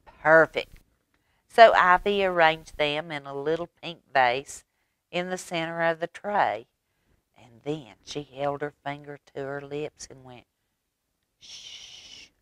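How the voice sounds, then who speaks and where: A woman with a Southern American accent telling a children's story in an expressive, folksy way, with little asides. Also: sits low, smooth, no room sound. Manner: expressive, folksy, unhurried, dramatic whispers and asides. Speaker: library story time host.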